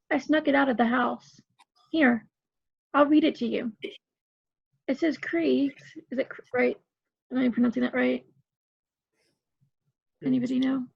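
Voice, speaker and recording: A woman playing an earnest young woman who shares a soldier's letter in an amateur play reading over a video call, reading a little haltingly. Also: sits high, vocal fry, breathy edge, no echo, full character voice. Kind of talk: amateur play table read. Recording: muffled video call audio.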